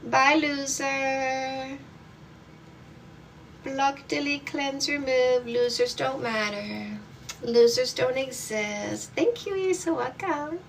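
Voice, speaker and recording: A woman's voice: sits high, slow, creaky, distant mic, slight background noise. A woman dating coach from Canada hosting a live stream, reading viewer comments aloud in an upbeat, affectionate way.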